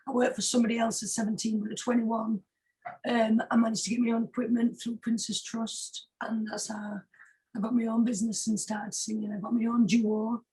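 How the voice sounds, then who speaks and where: Husky British woman, higher-pitched voice. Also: a little mellow, low-quality recording. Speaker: singer-songwriter guest. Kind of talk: casual interview chat.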